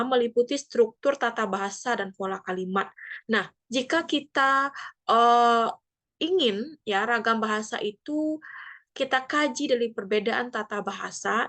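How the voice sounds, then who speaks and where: A woman's voice: on the high side, a bit bright. A woman from Indonesia giving a classroom lesson, explaining step by step with frequent fillers and tag questions. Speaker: teacher. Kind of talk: classroom lecture. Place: near the coast.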